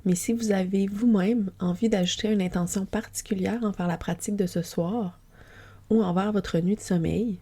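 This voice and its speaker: Female yoga nidra guide, very soft dark tone with a husky breathiness, soothing, slow-moving guided relaxation read. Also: mid-range voice, fairly even intonation. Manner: gentle, soothing, unhurried. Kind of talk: guided sleep relaxation, meditation narration.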